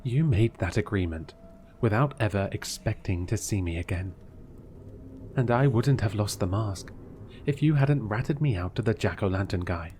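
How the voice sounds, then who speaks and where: An indignant heroine arguing hotly, read by a man in his own voice. The tone stays mellow and fairly low even when heated. A faint background hiss sits under it. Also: normal speed, lightly acted. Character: angry young heroine arguing.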